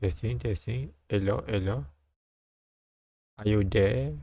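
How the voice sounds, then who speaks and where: A man reads a visual novel aloud on a live stream in a low voice with plenty of gravelly fry. His delivery is halting, with repeated lines and casual asides, and the sound is close and dry. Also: warm tone, dry recording.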